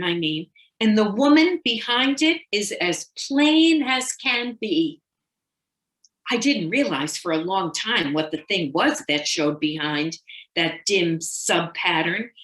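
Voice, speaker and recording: A woman acts out a Victorian wife from a short story, confiding and fixated, edging into paranoia. She speaks very slowly and dramatically, leaving loaded pauses. The room around her rings with echo. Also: medium pitch, fairly bright tone. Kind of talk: dramatic first-person short story reading.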